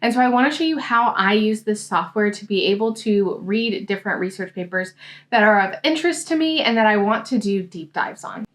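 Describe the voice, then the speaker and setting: A woman coaching grad students, giving a friendly software tutorial walkthrough. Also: mid-range voice, lively intonation, brisk. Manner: friendly, explanatory screen-demo talk. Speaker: science grad school coach.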